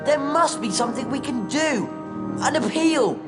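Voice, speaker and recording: Teenage boy wizard played by an actor, English accent, indignant, blunt, lively swooping delivery, video game scene over loud music. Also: high-pitched, moderate pace. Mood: indignant, upset. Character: indignant, hot-headed teenage wizard schoolboy. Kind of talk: video game cutscene acting.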